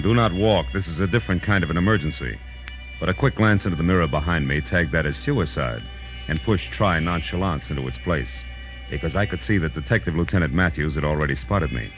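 An American actor playing a wry, urgent private detective in a 1940s radio drama, speaking in a clipped, hard-boiled way on an old broadcast recording. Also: male voice, deep voice, expressive, a little fast, muffled recording, over music. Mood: tense, wry. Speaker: lead actor and first-person narrator.

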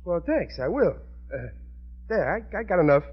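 Blustery, gullible high-voiced husband. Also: male voice, sing-song, normal speed. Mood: mollified, naive. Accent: American. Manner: blustery, folksy, ungrammatical. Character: gullible, blustering, self-important working-class husband and father. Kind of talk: 1940s radio comedy acting. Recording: muffled lo-fi old radio recording.

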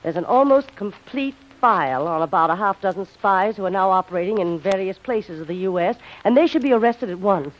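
An actress playing a spirited, anxious and devoted young heroine in an old-time radio drama, speaking with earnest, dramatic delivery. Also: female voice, mid-range voice, lively intonation, fairly quick, few pauses, close to an everyday voice. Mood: businesslike. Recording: muffled, noisy vintage radio recording.

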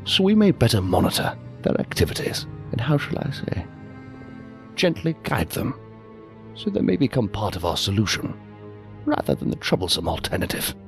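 A man plays a sinister superior in a science fiction audio drama, giving orders in formal, calculated phrases. His intonation rises and dips widely, with music playing clearly underneath. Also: lowish voice, big pitch swings. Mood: smooth, calculating. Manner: formal, measured, euphemistic. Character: cold, scheming male superior giving covert orders.

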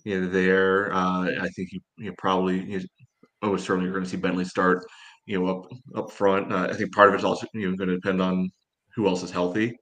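A man's voice: sits low, little pitch movement, brisk, fairly bright tone. A man co-hosting a soccer podcast in casual discussion, rambling and often dropping "you know" into his sentences. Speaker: soccer fan podcast co-host.

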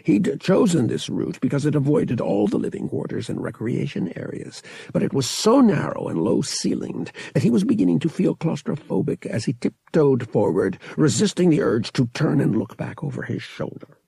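A man's voice: on the high side, big pitch swings, moderate pace, creaky, warm tone, breathy edge, no room sound. A man reading an adventure novel as an audiobook narrator, in dramatic scripted narration.

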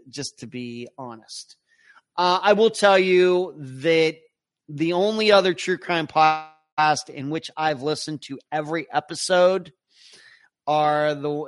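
A man hosting a live podcast, reading out listener comments and answering them in a casual, rambling way. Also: on the high side. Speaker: podcast host.

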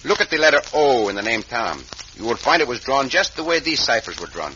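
Self-assured Mexican cowboy hero, courtly, animated intonation, Spanish touches, muffled old radio drama. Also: male voice, mid-range voice, fairly quick, a little hiss or hum. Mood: urgent, accusing. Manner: courtly, formal phrasing with Spanish words. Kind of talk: 1940s-50s radio western acting.

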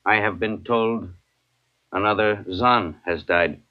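An immortal alien of pure logic in vintage radio science fiction. The voice is low, deadpan and formal, each sentence a pronouncement. The recording is dull and aged. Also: male voice, slow. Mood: formal, impassive. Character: coldly logical, immortal male alien called George. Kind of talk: 1950s radio drama acting.

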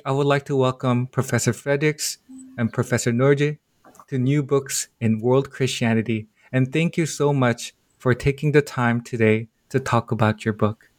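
A man hosting a book interview podcast, reading a formal introduction of his guests in a measured way with occasional slips. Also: medium pitch, no echo, clean studio sound. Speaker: podcast host.

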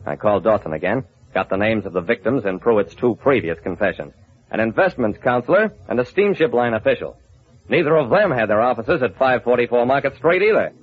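An actor playing a shrewd, hard-boiled investigator in an old-time radio drama, wry, clipped and confident. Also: male voice, mid-range voice, lively intonation, a little fast, natural voice. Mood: wry, brooding. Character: shrewd, hard-boiled male investigator telling his case. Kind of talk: old-time radio detective narration and acting. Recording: muffled vintage radio recording.

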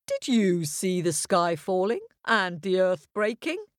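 A woman voicing a majestic male lion who speaks slowly in a lowered, commanding voice. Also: big pitch swings, no echo, studio quality. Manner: slow, deep and booming.

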